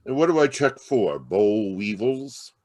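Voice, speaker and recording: A man playing a gruff, menacing, sarcastic police detective in a 1940s-style radio noir, curt and taunting. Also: lowish voice, big pitch swings, unhurried, a little mellow. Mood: gruff, threatening. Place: live remote video-call performance.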